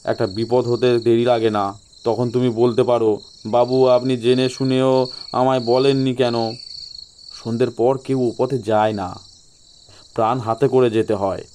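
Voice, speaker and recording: A man playing a sly local gentleman in an audio story, giving ominous ghost warnings with feigned reluctance. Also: mid-range voice, level tone, measured pace, pauses often, a little hiss or hum, lightly acted. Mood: ominous, confiding.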